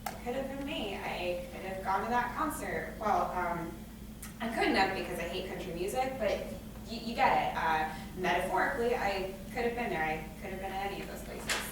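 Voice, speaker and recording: A woman's voice: mid-range voice, lively intonation, fairly quick, close to an everyday voice. Echoey, anxious young woman played by an actress onstage. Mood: despairing, stubborn. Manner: emphatic, rambling, catastrophizing. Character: anxious young woman, dramatic, stubborn, afraid to leave her room. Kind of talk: staged reading of a stage play. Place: live staged reading in a reverberant room. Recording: echoey room from far off, noisy.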